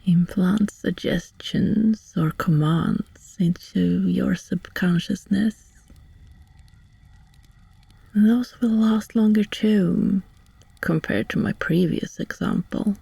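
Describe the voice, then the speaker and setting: A gentle sorceress, played by a woman, murmurs in a very breathy half-whisper with a mellow, soft-edged tone. She is calm and soothing, leaving long pauses as in an ASMR hypnosis roleplay. The sound is dry and close. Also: medium pitch, no room sound, full character voice. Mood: calm, explanatory. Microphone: close, dry microphone.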